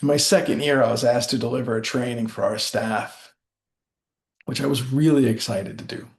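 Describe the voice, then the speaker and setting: A man giving a rehearsed motivational speech as a storyteller, speaking deliberately in short phrases with dramatic pauses. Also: medium pitch, fairly even intonation, gravelly.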